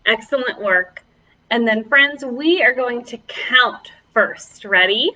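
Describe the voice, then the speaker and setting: A woman's voice: fairly high pitch, slow, pushed and bright, lo-fi sound. A woman children's librarian leading a preschool storytime, speaking to young children in an encouraging, exaggerated way.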